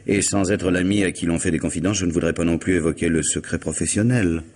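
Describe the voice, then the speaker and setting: An actor playing an urbane, probing lawyer in a vintage French radio drama, speaking in a measured, formal, questioning manner. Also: male voice, sits low, fairly even intonation, darkish tone, slight background noise, close to an everyday voice. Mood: earnest, measured. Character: urbane, probing male lawyer and family friend.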